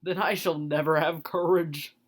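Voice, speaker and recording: A woman voicing a timid but proud male lion who speaks earnestly and pleads loudly. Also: low voice, fairly even intonation, measured pace.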